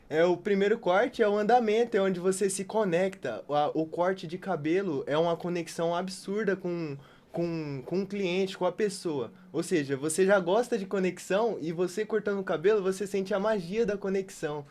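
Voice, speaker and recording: A man's voice: sits high, moderate pace, hardly any pauses, a little mellow. A man taking part in a podcast, speaking in a casual, chatty style. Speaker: podcast participant. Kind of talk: casual chat.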